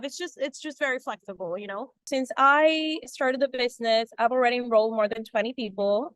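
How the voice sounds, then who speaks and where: A woman's voice: fairly high pitch, a bit bright, clean tone, no echo. A woman from Ecuador, giving a chatty testimonial about her coaching business with many fillers and restarts. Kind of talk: casual testimonial interview.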